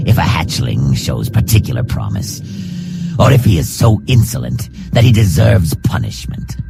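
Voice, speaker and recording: A man voicing a hard, proud reptilian assassin trainer in a fantasy game, gravelly and growling, speaking in a measured, formal, stern manner. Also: extremely low pitch, full character voice. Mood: dry, dismissive. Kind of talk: fantasy game character dialogue.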